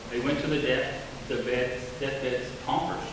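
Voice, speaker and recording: A man talks informally and in fits and starts, restarting his sentences. His voice comes from far off in a roomy hall with long reverb and steady hiss. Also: medium pitch. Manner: halting, informal, self-correcting. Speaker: candidate for governor. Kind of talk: campaign forum answer.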